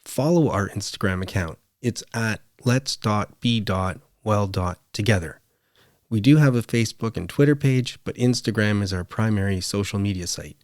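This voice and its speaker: Lowish-voiced man, dry close recording. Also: moderate pace, no echo. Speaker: podcast host. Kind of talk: scripted show outro.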